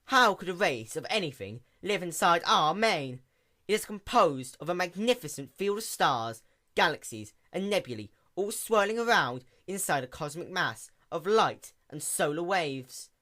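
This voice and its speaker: A woman's voice: lowish voice, lively intonation, normal speed, fairly bright tone, pitched above the performer's own voice. A woman voicing a regal, haughty pony princess with grand, formal diction, easily outraged, in a story reading. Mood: haughty, amused.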